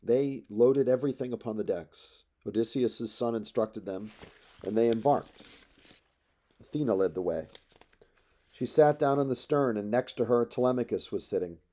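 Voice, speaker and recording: A man reading epic poetry aloud as a narrator, in measured, dramatic storytelling phrases. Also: medium pitch, dark tone. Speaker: audiobook narrator.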